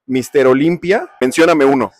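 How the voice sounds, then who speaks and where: A man's voice: medium pitch, normal speed. A man in his thirties with a Mexican accent, hosting a playful, teasing quiz with people in an indoor gym. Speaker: host.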